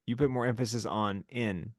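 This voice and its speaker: A man's voice: fairly low pitch, moderate pace, no room sound, studio quality. A young man co-hosting a podcast in easygoing casual chat, asking questions and steering the talk. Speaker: podcast co-host.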